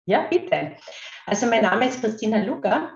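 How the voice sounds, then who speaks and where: Slow, halting Carinthian woman on a call. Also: on the high side, animated, gravelly. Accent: Carinthian Austrian German. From Carinthia, Austria. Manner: slow, halting, searching for words. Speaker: guest, kinesiologist. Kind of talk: casual interview talk. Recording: roomy, dull remote call audio.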